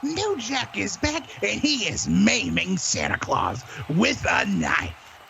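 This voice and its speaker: Wrestling commentator: frantic, very high and wildly swooping, croaky, drawn-out phrases, faint noise. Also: male voice, huge pitch swings, pushed and bright, heavily acted. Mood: shocked, excited. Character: frantic over-the-top wrestling announcer shouting play-by-play. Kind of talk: wrestling commentary in a comic audio play.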